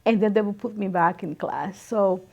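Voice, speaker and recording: A woman speaks calmly and reflectively, pausing often. Her mid-pitched voice comes through a slightly muffled, lo-fi recording. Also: gravelly. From Dominican Republic. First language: Spanish. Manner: calm, reflective, with filler pauses. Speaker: interviewee. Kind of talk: casual interview recollection.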